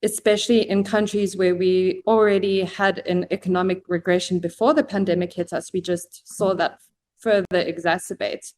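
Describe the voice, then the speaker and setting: A woman's voice: lowish voice, flat delivery, darkish tone. Young woman hosting a formal panel. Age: young adult. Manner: formal, measured delivery. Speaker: host.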